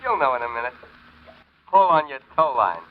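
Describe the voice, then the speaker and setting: A man's voice: mid-range voice, big pitch swings, normal speed, lightly acted. A man playing a treacherous diving partner. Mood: sly. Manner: sly, mocking. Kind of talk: 1940s radio acting. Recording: tinny old radio with faint hiss.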